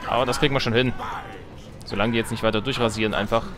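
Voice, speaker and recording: A man's voice: mid-range voice, fairly even intonation, fast talker. A man giving casual let's play commentary, with joking asides and musing to himself. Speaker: let's player. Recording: game music behind the voice. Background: strategy game music and sounds.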